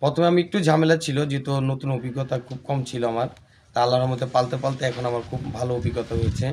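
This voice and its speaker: Male Bangladeshi farmer interviewee, monotone mid-range delivery, slight location noise. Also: mid-range voice, rapid-fire. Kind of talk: plain interview account. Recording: faint ambient location noise.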